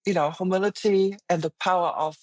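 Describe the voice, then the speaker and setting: A woman's voice: fairly low pitch, steady tone, brisk, dry recording. A middle-aged woman with a German accent, speaking as an interview guest in an earnest, reflective way with frequent restarts. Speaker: guest, finance executive. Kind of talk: interview conversation.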